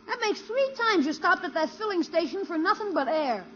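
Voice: extremely high pitch, lively intonation, normal speed. A performer playing a wisecracking, slangy young boy in a 1950s radio comedy. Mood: wry. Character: wisecracking, cheeky young boy, about twelve. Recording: muffled, noisy old radio recording.

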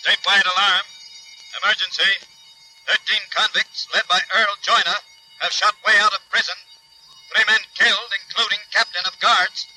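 An actor playing an urgent police dispatcher who reads clipped, telegraphic bulletins in 1940s radio crime drama. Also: male voice, sits high, fairly even intonation, moderate pace, pushed and bright, faint music behind, exaggerated voice. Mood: urgent, official. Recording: noisy old radio recording, light hiss.

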